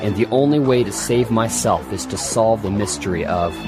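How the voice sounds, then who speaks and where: A man's voice: on the low side, moderate pace, natural voice, rough recording. A man playing a grave, determined New York police forensic detective in a dramatic audiobook trailer. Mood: grave, haunted. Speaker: promo narrator. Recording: loud music under the voice.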